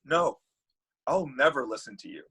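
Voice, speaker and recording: A man's voice: mid-range voice, expressive, normal speed, sharp tone, husky, heavily acted. A man playing Cupid in a comedy table read, a bitter, heartbroken matchmaker god who gets defensive and exasperated. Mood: scornful, indignant. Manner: exasperated, indignant, stammering.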